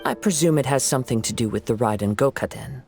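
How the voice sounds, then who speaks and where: A man playing a composed young detective in a game who lays out his deductions step by step. His mid-pitched voice carries a slight gravelly edge and stays even and analytical. Faint game music runs underneath. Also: fast, close to an everyday voice. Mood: calm. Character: calm, sharp young male detective.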